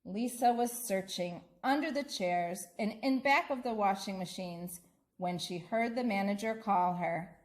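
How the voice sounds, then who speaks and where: A woman narrating a children's bedtime story in an expressive, deliberate manner. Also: mid-range voice. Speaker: school staff member reading aloud.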